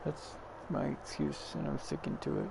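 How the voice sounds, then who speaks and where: Gravelly, deadpan male game streamer. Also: fairly high pitch, moderate pace, very noisy. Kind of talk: casual playthrough commentary.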